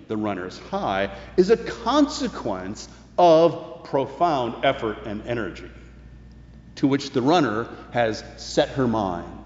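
A man preaching a sermon as a pastor, in a measured, earnest way with emphatic phrasing. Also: medium pitch. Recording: dull, echoing, long-reverb hall recording.

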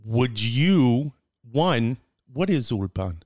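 A man's voice: medium pitch, sing-song, drawn-out words, a little mellow, no room sound, studio quality. A man hosting a podcast in casual interview chat, exuberant and given to emphatic repetition. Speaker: podcast host.

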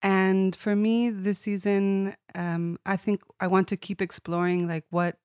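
A woman's voice: medium pitch, level tone, a little slow, a little mellow, clear, resonant tone, no echo, studio quality. A woman hosting a podcast, enthusiastic and welcoming as she introduces her guests. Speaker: podcast host.

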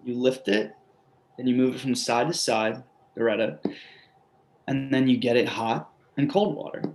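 A man playing a quiet, simple middle-aged man who is patient and reassuring in a play reading. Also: mid-range voice, fairly even intonation, normal speed, close to an everyday voice. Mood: explaining gently. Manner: gentle, reassuring.

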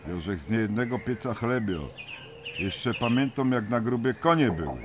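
An actor with a Silesian accent playing an older, gruff miner near retirement in a radio drama, grumbling and bossy. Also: male voice, medium pitch, expressive, moderate pace, noisy background, close to an everyday voice. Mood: gruff banter.

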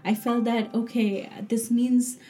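Mellow halting Bangladeshi woman. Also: on the high side, level tone, a little hiss or hum. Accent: Bangladeshi English. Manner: hesitant, halting, many fillers and restarts. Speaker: PhD student guest. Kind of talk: casual interview answer.

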